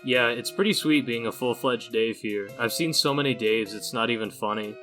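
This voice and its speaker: A man playing a cool, laid-back, sardonic teenage boy with deadpan, casual delivery in a fan comic dub. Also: mid-range voice, moderate pace, over music, close to an everyday voice.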